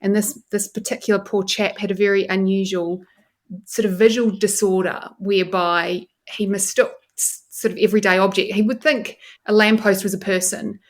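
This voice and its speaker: A woman in her forties with a New Zealand accent, explaining and telling stories as an enthusiastic, expressive podcast guest. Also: mid-range voice, normal speed. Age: 40s. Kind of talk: conversational interview, explaining and storytelling.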